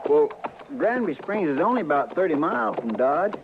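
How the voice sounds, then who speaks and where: An actor playing an earnest, deferential Western deputy sidekick with a rural American accent in a radio drama. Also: male voice, on the high side, very animated, normal speed. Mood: earnest, puzzled. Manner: folksy, deferential, plain-spoken. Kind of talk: old-time Western radio drama acting. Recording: tinny, noisy old radio recording.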